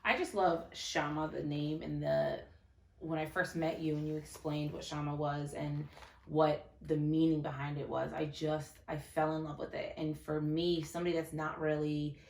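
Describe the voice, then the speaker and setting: A woman's voice: low-pitched, little pitch movement, moderate pace, creaky, a little mellow, reverberant. A woman hosting an interview in an upbeat, chatty way. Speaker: interview host. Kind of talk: casual interview.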